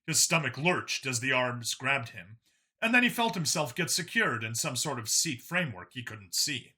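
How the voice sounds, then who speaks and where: A man's voice: mid-range voice, animated, moderate pace, bright, forceful tone, husky. A man reading a science fiction story aloud as a dramatic scripted narration. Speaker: narrator, audiobook reader.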